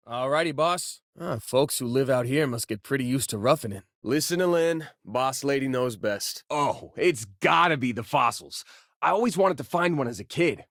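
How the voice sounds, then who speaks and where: Young American space miner in a game: easygoing, wry wisecracker, conversational, mid-pitched, dry close sound. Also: male voice, fairly bright tone, dry recording. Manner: casual, wry, joking. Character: easygoing, wisecracking male space miner who collects rocks. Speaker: video game voice actor. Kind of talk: conversational video game character dialogue.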